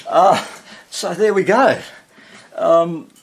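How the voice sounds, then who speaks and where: A man's voice: sits high, drawn-out words, husky. A man doing informal hands-on repair commentary as a vintage radio restorer, hesitant, self-deprecating and thinking aloud as he works. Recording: noisy workshop recording with clicks.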